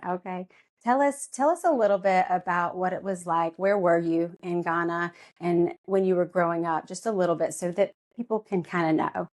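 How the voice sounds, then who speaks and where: A woman hosts a podcast interview in a chatty, encouraging way. Her voice sits a little low for a woman. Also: moderate pace, a little mellow. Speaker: podcast host.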